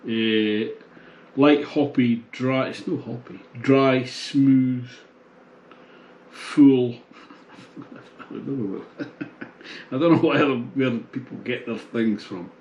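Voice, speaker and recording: A man in his sixties casually reviewing a beer at home, thinking aloud in a relaxed, musing way with pauses. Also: mid-range voice, lo-fi sound. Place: small home bar room, indoors.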